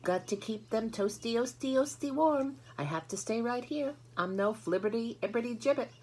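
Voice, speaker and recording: Storybook mother goose, played by a woman: fussy, broody, high swooping pitch, stuttering rhymed repeats. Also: animated, normal speed, a little mellow, a little hiss or hum, close to an everyday voice. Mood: fussy, apologetic. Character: busy, fussy mother goose guarding her eggs. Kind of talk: storybook character voice.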